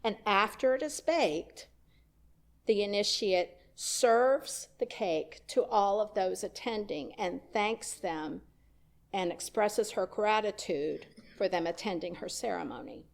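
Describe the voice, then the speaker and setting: A woman minister delivering a sermon, slow and deliberate, with emphatic rising questions. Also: medium pitch, lively intonation, vocal fry.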